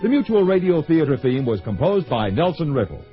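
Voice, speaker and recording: A male announcer reading closing credits in a formal, measured way. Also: a little high, sing-song. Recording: lo-fi radio broadcast with music.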